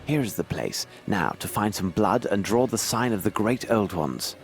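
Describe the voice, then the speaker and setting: An actor playing a shrewd, authoritative Victorian gentleman detective in a video game. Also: fairly low pitch, expressive, normal speed, soft background music. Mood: grave, calculating.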